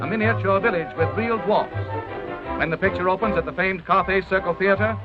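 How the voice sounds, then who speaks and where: An American man narrating a 1930s promotional film in a formal, grandiose announcer style from a script. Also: high voice. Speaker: documentary narrator. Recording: dull vintage 1930s film soundtrack, music. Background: orchestral music.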